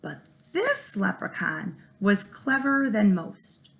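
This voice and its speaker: Female teacher for a children's read-aloud, animated and emphatic, with a slightly gravelly creak. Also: mid-range voice, takes it slowly. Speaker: first grade teacher reading aloud.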